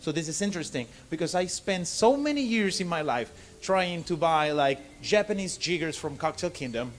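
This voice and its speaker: A man from Argentina with a Spanish accent, giving an informal lecture in halting English and often checking in with "okay?". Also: fairly high pitch, expressive. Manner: halting non-native English, frequent "okay?" check-ins. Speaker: bartender giving a seminar. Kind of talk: informal lecture to a live audience.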